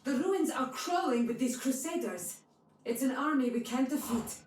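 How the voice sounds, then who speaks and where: A steadfast woman warrior from a video game. Her voice is fairly high and carries from a distance through heavy echo, with faint noise. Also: lively intonation, moderate pace. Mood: grim. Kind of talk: dramatic video game cutscene acting.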